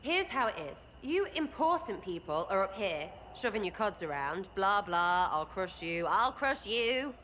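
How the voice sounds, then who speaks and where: An actress playing a cheeky, irreverent lowborn woman archer who talks in crude, mocking, rambling banter. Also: very animated, unhurried, hardly any pauses, fairly bright tone, a little hiss or hum.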